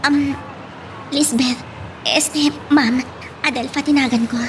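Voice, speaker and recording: A woman's voice: sits high, steady tone, slow. A woman playing a polite, grateful young office employee in an Ilocano audio drama, deferential and a little hesitant. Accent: Ilocano Filipino. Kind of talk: amateur audio drama dialogue. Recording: faint background noise.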